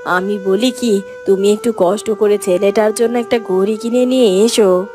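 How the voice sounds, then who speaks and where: A woman's voice: medium pitch, fairly even intonation, moderate pace, dark tone, pitched below the performer's own voice, close to an everyday voice. A woman voicing a poor farmer's caring, coaxing wife and mother in a fairy-tale cartoon. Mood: coaxing, concerned. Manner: coaxing, tender. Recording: music under the voice.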